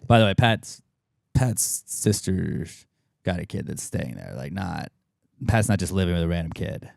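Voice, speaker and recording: A man's voice: deep voice, animated, moderate pace, creaky, no echo, clean studio sound. A man co-hosting a podcast in casual comedic chat, joking and reading out listener submissions. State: voice recently shot from shouting. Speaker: podcast co-host.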